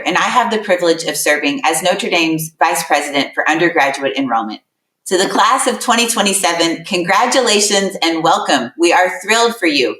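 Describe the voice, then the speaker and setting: A woman's voice: fairly low pitch, a bit bright. A middle-aged woman hosting an admissions webinar, giving an emphatic, welcoming address with repeated words for effect. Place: room with a laptop. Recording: roomy echo, mic at a distance.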